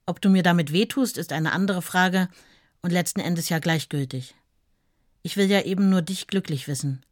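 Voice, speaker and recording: An actress playing a devoted, self-sacrificing wife in a radio play, reading letters and memories in a plain, sincere, intimate way. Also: female voice, on the low side, moderate pace, no room sound, clean studio sound, close to an everyday voice. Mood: tender, wounded.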